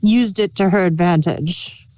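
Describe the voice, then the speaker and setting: A woman's voice: fairly low pitch, a little slow, a little mellow, low-quality recording. A woman chatting casually as a podcast co-host. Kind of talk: casual chat.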